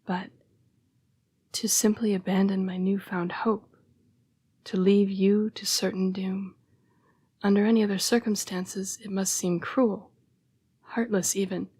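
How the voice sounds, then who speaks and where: A woman playing a remorseful, gentle young vampire in an intimate roleplay audio, speaking in a breathy, halting hush. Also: medium pitch, little pitch movement. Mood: earnest, apologetic. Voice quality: breathy, whispery. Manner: hushed, halting, earnest and apologetic. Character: remorseful, gentle young female vampire, protective, fighting bloodlust. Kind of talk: roleplay audio acting, intimate dramatic monologue.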